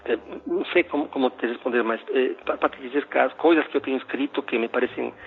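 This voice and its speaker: A middle-aged man from Ecuador with a Spanish accent, a philosophy professor telling a story in a casual, halting way with many restarts and fillers. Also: mid-range voice, gravelly. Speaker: philosophy professor, podcast guest. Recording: phone-like narrow sound.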